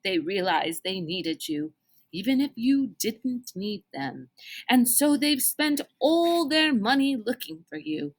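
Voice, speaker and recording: A woman storyteller plays an older gentleman mentor from a children's storybook, kindly and formal. The phrasing is deliberate and old-fashioned, with a lively, varied melody. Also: very high-pitched, voice pushed up, full character voice. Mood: earnest explaining.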